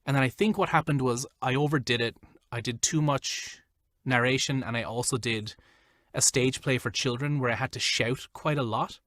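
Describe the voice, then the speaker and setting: A man's voice: mid-range voice, moderate pace, a bit bright, no room sound. Male voiceover guest, casual and rambling, dry close mic. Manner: chatty, loose, lots of "like" fillers. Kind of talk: casual interview chat.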